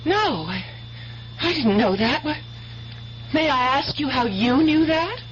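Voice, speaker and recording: An actress playing an excitable, adoring young American fiancée in a 1970s radio drama, gushing and earnest. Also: female voice, high voice, measured pace. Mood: alarmed, defensive. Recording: dull, noisy old radio broadcast.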